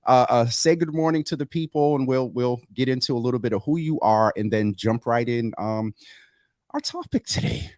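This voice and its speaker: A man hosting a morning health talk show, introducing a guest with a lively, swooping delivery. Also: mid-range voice, moderate pace, no echo, clean studio sound. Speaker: host.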